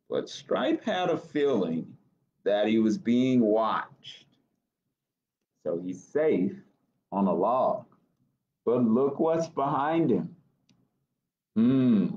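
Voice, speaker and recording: Slow, animated American man reading to children. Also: lowish voice, lo-fi sound, slight background noise. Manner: expressive, pausing to ask questions. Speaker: library storytime reader. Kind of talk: reading a picture book aloud to children, with asides. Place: small office, indoors.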